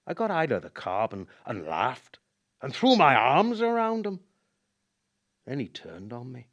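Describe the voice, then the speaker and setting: An actor playing a haunted former cab driver telling a grim memory in a radio play, halting and emotive. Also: male voice, medium pitch, wildly swooping pitch, a bit bright, muffled recording, full character voice. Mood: anguished. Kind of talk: dramatic radio play monologue.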